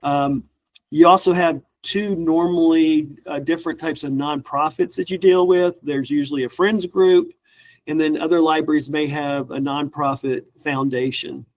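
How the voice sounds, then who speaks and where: A man in his fifties, a library director, giving a training webinar; his voice is stuffy and rough, and he talks in a relaxed way with many ums and uhs. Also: a little high, normal speed. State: stuffy, recovering from illness. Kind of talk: webinar lecture.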